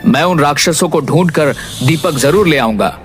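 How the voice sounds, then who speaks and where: A man's voice: a little high, lively intonation, moderate pace, close to an everyday voice. A man voicing a resolute young serpent prince who commands soldiers in a cartoon tale. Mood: determined. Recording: music behind the voice.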